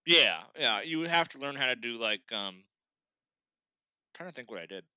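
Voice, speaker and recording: A young man from Boston talks casually as a podcast guest. His voice is very bright and crisp, with a dry, close sound. He laughs often and restarts his sentences. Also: medium pitch, lively intonation, moderate pace, no echo. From Boston, Massachusetts. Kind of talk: casual chat.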